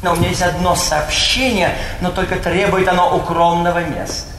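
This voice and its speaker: A man's voice: high voice, steady tone, fairly quick, natural voice. A man playing a sly, servile, gossiping retainer with a fawning, ornate manner in a Russian stage comedy. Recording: echoey theatre hall, faint noise.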